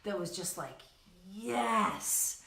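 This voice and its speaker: A woman's voice: mid-range voice, normal speed. A woman with a mid-range voice co-hosts an online coaching session. She chats informally and gushes with enthusiasm, rambling along and checking in with listeners. The sound is roomy and echoing. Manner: giggly, gushing, rambling with frequent "right" and "like". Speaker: co-host of a coaching livestream. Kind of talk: enthusiastic casual chat to an online audience.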